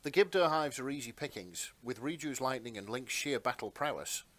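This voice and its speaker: A man's voice: mid-range voice, animated, moderate pace, a bit bright. A man reading scripted narration for a fantasy fan story in a dramatic storytelling style.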